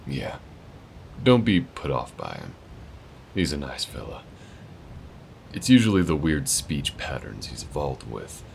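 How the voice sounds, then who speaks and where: A man's voice: extremely low pitch, gravelly, slight background noise, exaggerated voice. A man voicing a friendly, easygoing male alien who shows the listener around town in an audio roleplay, talking in a folksy, laid-back drawl.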